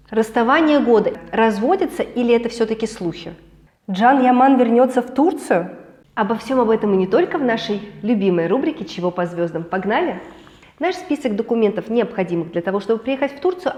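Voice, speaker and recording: A woman hosting a weekly celebrity and travel news roundup, hoarse from a cold, lively and chatty with personal asides. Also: medium pitch, echoey room. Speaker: news show host.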